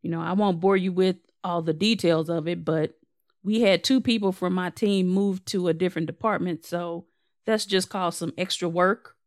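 A young woman, slightly hoarse, hosting her own podcast in a casual, candid and self-deprecating way. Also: fairly low pitch, steady tone, normal speed, dry recording, studio quality. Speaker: podcast host. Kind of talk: casual solo podcast update.

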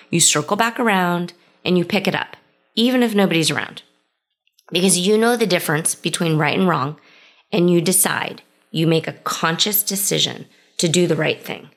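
Low-voiced woman hosting a podcast. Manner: conversational, reflective, starts many sentences with "so". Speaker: podcast host. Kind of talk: solo podcast monologue.

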